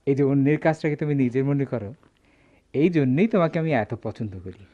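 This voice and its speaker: Male actor as smooth evasive merchant, Bangladeshi accent, swooping expressive pitch, patronising, dull radio drama sound. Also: fast talker, close to an everyday voice. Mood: affable. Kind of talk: Bengali radio drama acting. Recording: slightly dull radio recording.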